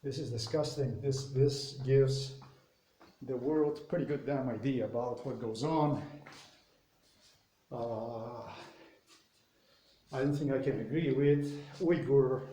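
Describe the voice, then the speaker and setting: A man's voice: mid-range voice, mellow. Slovenian man ranting in an echoey room. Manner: emphatic, indignant political monologue with frequent pauses and fillers. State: exercising while talking. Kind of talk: solo vlog monologue.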